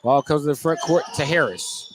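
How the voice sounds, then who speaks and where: A man with a fairly high voice works as a basketball play-by-play announcer. He delivers live radio commentary, following the game as it happens. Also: brisk, background noise. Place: courtside in a gymnasium.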